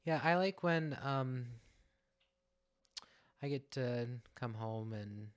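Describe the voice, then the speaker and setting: A man with a high-pitched voice, co-hosting a podcast in playful, animated casual chat. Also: slow pace, smooth, highs cut off. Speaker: podcast co-host.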